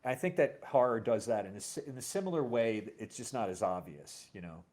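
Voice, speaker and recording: A middle-aged man hosting a casual, personal conversation, telling stories in a halting, reflective way. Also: mid-range voice, animated, a little mellow. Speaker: host.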